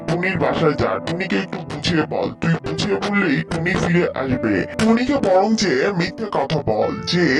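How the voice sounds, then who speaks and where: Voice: medium pitch, lightly acted. A performer voicing a friendly, concerned and persuasive bird friend in a children's cartoon. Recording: music behind the voice.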